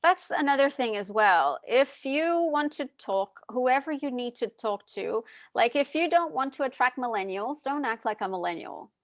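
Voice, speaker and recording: A woman's voice: sits high, expressive, moderate pace, few pauses, dry recording, studio quality. A woman in her thirties, a guest in an interview, giving chatty advice with lots of fillers. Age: 30s. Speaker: guest, dietitian and hypnotherapist. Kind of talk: casual interview chat.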